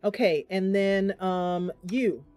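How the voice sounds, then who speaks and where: A woman's voice: mid-range voice, takes it slowly, warm tone, soft background music. A woman streaming a game, casually commentating live and thinking aloud as she chats with her viewers.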